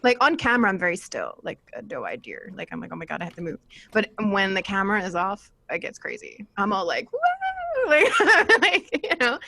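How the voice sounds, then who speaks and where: A woman hosting a casual panel chat, talking in an animated, jokey, chatty way. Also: medium pitch, a little fast, gravelly, hardly any pauses. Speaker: panel host and podcaster.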